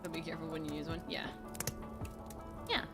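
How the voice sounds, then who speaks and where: A woman's voice: deep voice, level tone, brisk. A woman streamer in casual chat, rambling and laughing often with faint music behind her.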